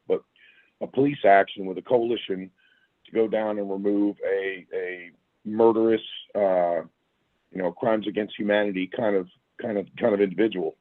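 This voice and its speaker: A man with a raspy voice and a sore throat, a retired army sergeant talking as a guest in a casual interview, plainspoken with folksy asides. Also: on the low side, no echo. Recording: tinny phone line, dry.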